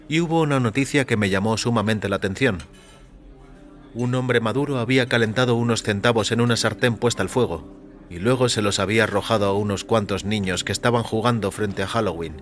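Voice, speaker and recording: A man's voice: fairly low pitch, fairly bright tone. Man narrating fiction over music. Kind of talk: scripted first-person story narration. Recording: music behind the voice.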